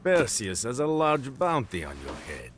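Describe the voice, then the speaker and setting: An actor playing a menacing, gloating crime boss in a video game cutscene, speaking in a taunting, deliberate way. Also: male voice, mid-range voice, wildly swooping pitch, faint music behind. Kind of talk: cinematic video game acting. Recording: faint noise behind the voice.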